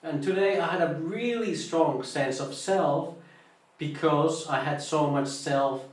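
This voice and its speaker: Male Buddhist monk, Finnish accent, halting informal talk, very echoey room, mic at a distance. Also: fairly high pitch. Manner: halting, searches for English words. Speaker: Buddhist monk teaching meditation. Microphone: online live stream, distant mic.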